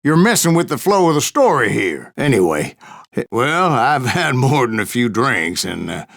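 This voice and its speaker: A stubborn old gunslinger from a Western video game. His voice is full of gravel and fry, with a folksy American West drawl. He tells his tall tales like a cantankerous, foul-mouthed saloon storyteller. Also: medium pitch, very animated, normal speed, a bit bright, heavily acted. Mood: irritated, tipsy. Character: gruff, stubborn old Wild West bounty hunter spinning tall tales. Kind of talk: storytelling narration, rambling saloon yarn.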